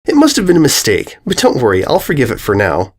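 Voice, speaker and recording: A man's voice: mid-range voice, huge pitch swings, fast talker, slightly rough. A man voicing a haughty, self-important young schoolgirl pony in a storybook reading, smug and condescending. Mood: scoffing.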